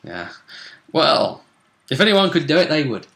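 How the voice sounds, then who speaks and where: Bright-voiced man recapping a game. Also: a little high, sing-song, a little slow, vocal fry, echoey room. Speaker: host of a tabletop role-play recap show. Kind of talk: casual recap chat.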